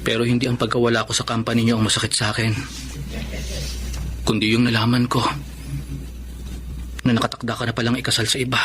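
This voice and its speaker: An actor playing a respectful, wounded young employee and boyfriend in a Filipino melodrama, earnest and deferential. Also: male voice, medium pitch, monotone, fast, pauses often, a bit bright, a little hiss or hum, lightly acted. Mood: hurt, earnest.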